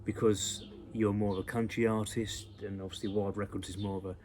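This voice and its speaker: A man hosts a casual interview, working through his questions with "um"s and repeated words. His voice is on the low side, with faint noise behind it. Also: level tone, brisk, darkish tone. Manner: hesitant, with "um"s and repeats. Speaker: interview host.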